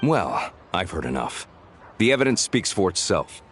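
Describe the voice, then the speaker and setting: A deep-voiced, stern commander. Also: male voice, sing-song, faint music behind. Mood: stern, decisive. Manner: measured, commanding. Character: stern, authoritative male commanding officer. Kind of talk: video game voice acting.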